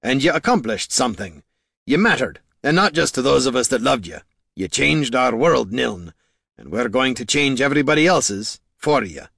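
A man voicing a gruff, loyal dwarf hero with a blunt, emphatic and hearty way of talking. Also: medium pitch, sing-song, normal speed, a bit bright, dry recording, clean studio sound, pitched above the performer's own voice, exaggerated voice. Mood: solemn, heartfelt.

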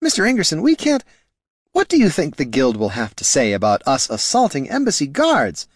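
A man's voice: on the high side, wildly swooping pitch, normal speed, breathy edge, dry recording, studio quality, voice pushed up, heavily acted. A man voicing a nervous, flustered young male scribe who protests anxiously, in a fantasy audiobook.

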